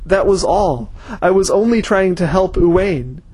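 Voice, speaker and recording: Man doing an old male villain, pleading, desperate, high-pitched, husky, storybook reading. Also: animated, a little slow, a little mellow, no room sound, heavily acted. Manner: begging, desperate.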